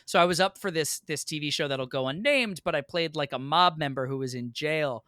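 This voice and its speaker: Bright-voiced young man telling stories. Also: fairly high pitch, a little fast, clean tone, dry recording, studio quality. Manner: animated storyteller, acts out exchanges. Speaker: podcast guest, stage actor. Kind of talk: casual podcast chat, anecdotes.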